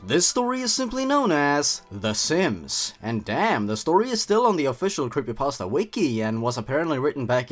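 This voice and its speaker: Young male narrator, animated pitch, playful commentary, horror story reading, light music underneath. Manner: playful, wry asides while reading. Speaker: YouTube host and narrator. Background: background music.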